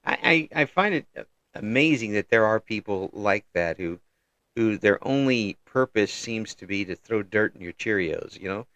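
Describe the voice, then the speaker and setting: An older man hosting a casual interview, halting and repeating words as he goes, with frequent chuckles. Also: lowish voice, animated, fairly bright tone, dry recording, highs cut off. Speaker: interview host.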